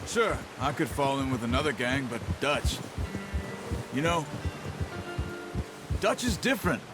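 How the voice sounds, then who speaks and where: A man playing a calm, laconic outlaw hunter in a western video game, speaking in a measured way. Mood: reflective. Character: calm, stoic outlaw hunter, loyal and plain-spoken. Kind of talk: video game cutscene acting. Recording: loud music bed, vehicle-like rumble.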